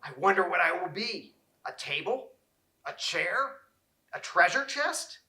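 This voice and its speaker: Man voicing a small wistful young tree, high and bright. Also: very animated, unhurried, reverberant, full character voice. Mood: dreamy, wondering. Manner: emotional, exclaiming and sighing.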